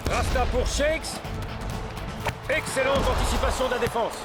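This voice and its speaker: A brisk cartoon football announcer, high-pitched and lively, calling the play. Loud music sits behind the voice. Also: male voice. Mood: upbeat. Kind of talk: play-by-play commentary in a French cartoon dub.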